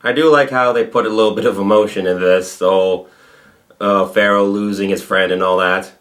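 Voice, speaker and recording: A man's voice: lowish voice, steady tone, fairly quick, fairly bright tone. A man hosting a reaction video, giving casual, conversational commentary.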